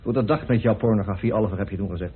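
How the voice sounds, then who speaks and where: A man's voice: on the low side, rapid-fire, slightly rough. An actor playing a grim, indignant man in a radio play, speaking emphatically and accusingly. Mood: bitter, accusing. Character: grim, indignant man bitterly revealing a hidden truth. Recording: muffled, narrow old radio recording.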